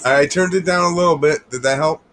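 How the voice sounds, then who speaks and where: A man's voice: a little high, fairly quick, a bit bright. A man joining a livestream as a guest, self-deprecating and laughing at himself. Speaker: guest caller. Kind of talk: casual livestream chat.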